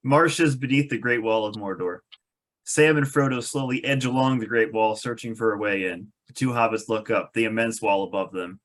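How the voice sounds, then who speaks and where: A man's voice: on the low side, moderate pace, a bit bright. A man reading stage directions as the narrator of a scripted table read.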